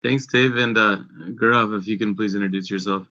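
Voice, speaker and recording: A man in his forties moderates a panel, speaking in a low, bright voice with a flat, level delivery. He pauses often with "uh" between thoughts. The sound is dull and lo-fi. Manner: hesitant, many "uh" fillers.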